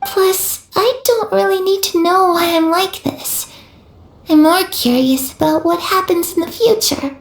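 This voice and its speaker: Sweet earnest little girl ghost, super high voice, talks slow. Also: reverberant. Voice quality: bright, earnest, childlike. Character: cheerful young girl ghost, trusting and earnest. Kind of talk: video game cutscene voice acting.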